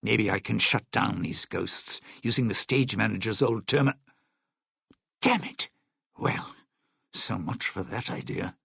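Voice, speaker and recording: An actor voicing a suave, bitter, century-old lounge singer in a video game, a sardonic and scheming showman with a raspy voice. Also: lowish voice, a little slow, fairly bright tone, dry recording, studio quality, full character voice. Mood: exasperated. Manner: theatrical, sardonic showman talking in stage metaphors.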